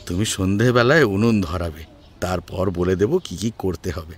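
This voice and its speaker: A man voicing a kindly older father-in-law in an audio story, soft, fatherly and reassuring. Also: low-pitched, normal speed, pitched below the performer's own voice. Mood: gentle, reassuring. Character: gentle, kindly older father-in-law guiding his daughter-in-law. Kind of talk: Bengali audio story acting. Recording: music behind the voice.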